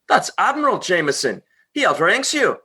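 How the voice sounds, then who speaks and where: An actor playing a proud, blunt, battle-hardened station chief in a comedy radio play. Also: male voice, high voice, big pitch swings, moderate pace, pushed and bright, slightly rough, full character voice. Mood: correcting. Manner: blunt, defensive.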